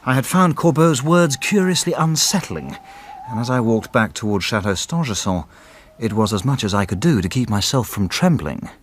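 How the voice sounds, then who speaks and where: An actor playing an anxious, genteel gentleman narrating a mystery in formal, literary language as radio drama. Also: mid-range voice, lively intonation, moderate pace, a little hiss or hum, lightly acted. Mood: unsettled.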